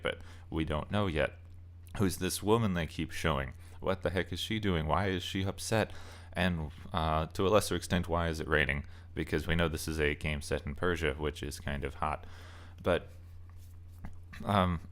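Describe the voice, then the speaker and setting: Really low voiced guy doing a casual video essay, rambly and opinionated. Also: a bit bright. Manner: rambling, opinionated, stresses key words. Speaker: video essayist and game reviewer. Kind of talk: casual loosely scripted commentary.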